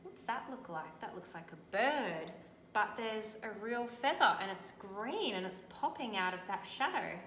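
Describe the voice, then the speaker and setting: An Australian woman teacher reading a picture book aloud and gently pointing out details in the pictures. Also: mid-range voice, animated, unhurried. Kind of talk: storybook read-aloud with commentary on pictures. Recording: echoey room, faint background noise.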